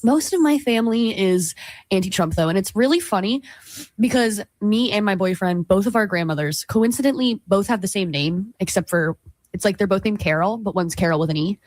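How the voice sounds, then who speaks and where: A woman's voice: mid-range voice, brisk, darkish tone, no echo. A young woman co-hosting a college radio morning show, chatting casually with lots of laughs, fillers and restarts. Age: young adult. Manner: giggly, rambling, lots of likes and restarts. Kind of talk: casual chat.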